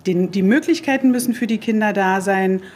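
A woman in her fifties, a veteran daycare director, explains things in a loose, rambling way and corrects herself as she goes. She has a mid pitch and a wry edge. Faint room noise sits behind her voice. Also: brisk, darkish tone. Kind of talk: interview answers. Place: daycare centre.

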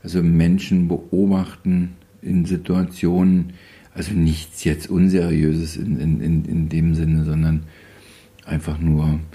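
Low mellow voice, guy in his 50s, photographer rambling on with dry little jokes and lots of ähms. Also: slow pace. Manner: relaxed, rambling storyteller with wry humor and frequent hesitations. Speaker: photographer guest. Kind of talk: casual interview.

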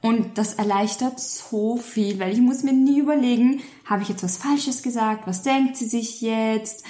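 A woman's voice: a little high, a little slow, warm tone, roomy sound. A woman, co-hosting a podcast in effusive casual chat. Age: 20s. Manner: effusive, gushing. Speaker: podcast co-host.

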